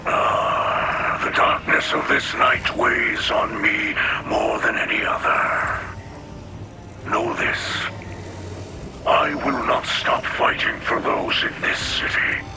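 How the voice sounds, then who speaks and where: A man playing a weary but steadfast warrior in a video game, speaking in a solemn, halting, heavy-hearted way. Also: mid-range voice. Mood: quietly resolute. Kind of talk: scripted video game monologue. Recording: loud music behind the voice. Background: loud music.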